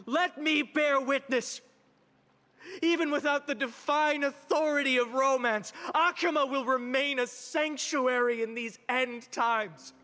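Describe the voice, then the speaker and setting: An actor playing an earnest young heroic leader in a fantasy game, giving a solemn, measured speech to his city. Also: extremely high pitch, bright, forceful tone, exaggerated voice. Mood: rousing, resolute. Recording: long hall reverb with music behind. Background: music.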